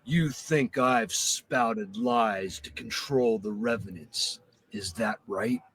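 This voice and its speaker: An actor playing a calm, solemn bishop in a fantasy video game, speaking in a formal, measured, prophetic manner. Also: male voice, on the low side, a little hiss or hum.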